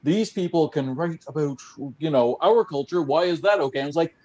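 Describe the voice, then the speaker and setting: A man's voice: a little high, a little fast, darkish tone. A man from Newfoundland hosting a casual interview, rambling with many restarts and fillers. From Newfoundland, Canada. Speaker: podcast host.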